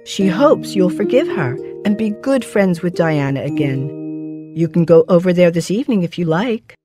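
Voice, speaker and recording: Older female guardian acted by a woman, measured, stern yet kind, lower register, children's animation. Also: lively intonation, over music, close to an everyday voice. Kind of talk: animated children's story acting.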